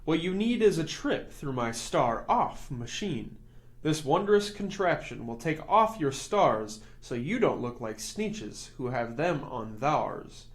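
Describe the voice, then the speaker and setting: A slick travelling salesman from a children's storybook, acted out by a man. He pitches in rhyme with confident persuasion. His voice sits a bit high and moves up and down with lots of life. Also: big pitch swings, takes it slowly. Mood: sly persuading.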